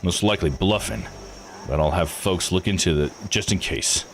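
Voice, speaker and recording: A man voicing a gruff, folksy old island boss in a visual novel, blunt and dismissive. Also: sits low, moderate pace, faint music behind. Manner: blunt, slangy, domineering. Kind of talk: visual novel read-aloud voice acting.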